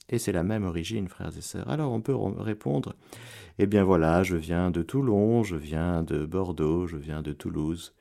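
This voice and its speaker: A male priest giving a radio sermon, preacherly, rising to loud exclamations and dropping to hushed prayer. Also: low voice, creaky. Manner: slow, deliberate delivery. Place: radio studio.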